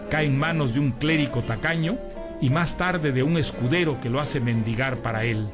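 A man reads a scripted literary introduction as a radio presenter. His mid-pitched voice is measured and erudite. Music plays clearly behind him.

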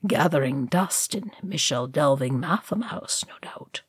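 Old male wizard read by a woman, knowing and measured, slow delivery, lowish register. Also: animated, slightly rough, no room sound, studio quality, heavily acted. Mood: measured, wistful. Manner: diplomatic, explanatory.

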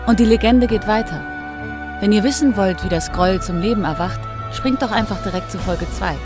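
A woman's voice: medium pitch, moderate pace, over music. A woman reading a scripted series outro as the announcer.

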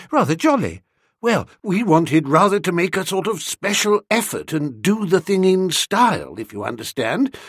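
A man's voice: sits high, no echo, studio quality. A man voicing a mild, silly young lord with an upper-class English accent, apologetic and chatty, in a comic audiobook.

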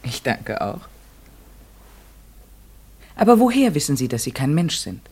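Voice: mid-range voice, sing-song, a little slow, darkish tone, husky, exaggerated voice. An actor playing an earnest, devoted woman in a radio play, formal and literary in speech and narration. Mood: questioning.

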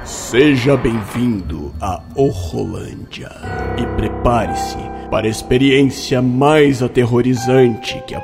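A man's voice: medium pitch, gravelly. A Brazilian man reading a horror trailer in an ominous, theatrical way as the announcer. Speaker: announcer of a horror podcast intro. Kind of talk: scripted horror trailer narration. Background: loud music.

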